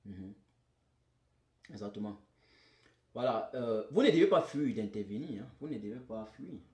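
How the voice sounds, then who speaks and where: A man's voice: mid-range voice, darkish tone. A man from Cameroon, hosting a political live stream, emphatic and repeating his points straight to viewers. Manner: emphatic, repeats phrases, addresses viewers directly. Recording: roomy echo.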